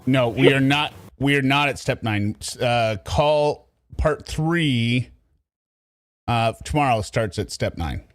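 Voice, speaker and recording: A man's voice: medium pitch, takes it slowly. A man hosting true crime commentary, sarcastic and talking back at the recording he plays. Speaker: commentary host.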